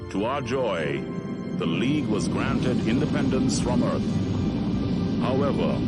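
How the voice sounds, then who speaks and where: A man's voice: medium pitch, close to an everyday voice. Man playing a solemn, weary space war veteran over music. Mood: reflective. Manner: grave, measured, reflective. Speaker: game cutscene narrator. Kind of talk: dramatic scripted narration. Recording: music behind the voice. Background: prominent music.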